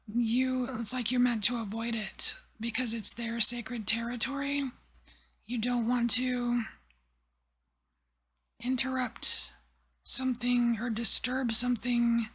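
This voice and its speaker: A woman giving a whispered tarot reading, rambling softly with many fillers. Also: fairly high pitch. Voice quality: breathy. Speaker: tarot reader.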